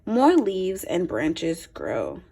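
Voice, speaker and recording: Female primary teacher for a picture book read-aloud: slow, deliberate, a little husky, explaining simply to little kids. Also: lowish voice. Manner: simple explanatory asides to young listeners; expressive, deliberate phrasing for children. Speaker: teacher reading aloud to young children.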